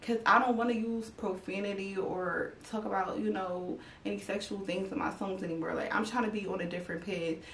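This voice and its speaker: A woman's voice: medium pitch, fairly even intonation, a little fast, hardly any pauses, a bit bright, reverberant, far from the mic, slight background noise, rough recording. A young woman chatting casually to her viewers, slightly congested and stuffy, rambling with lots of fillers. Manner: rambling, filler-heavy, talks straight to viewers. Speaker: YouTube vlogger. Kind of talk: casual chat to camera.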